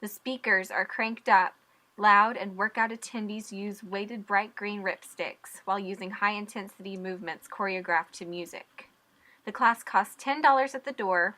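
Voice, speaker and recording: Bright-voiced young woman news reporter. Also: mid-range voice. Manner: clear, measured reporter delivery. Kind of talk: news reporting and voice-over narration.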